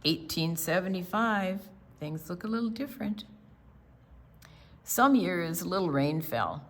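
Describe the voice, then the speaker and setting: Low-pitched, lively woman reading a picture book. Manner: deliberate, expressive storytelling for young children. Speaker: children's storytime reader at a library.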